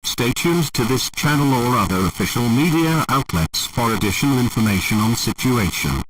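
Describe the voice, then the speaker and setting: A man's voice: mid-range voice, animated, moderate pace, fairly bright tone, no room sound, recorded from a distance, poor audio. A man reading a formal scripted emergency broadcast announcement in a stiff, impersonal, official manner.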